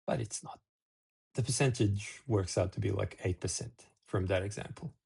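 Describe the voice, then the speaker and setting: A man's voice: on the low side, moderate pace, vocal fry, dark tone, husky. A middle-aged man from Serbia hosting a casual talk-to-camera video, rambling with restarts and asides. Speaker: video host.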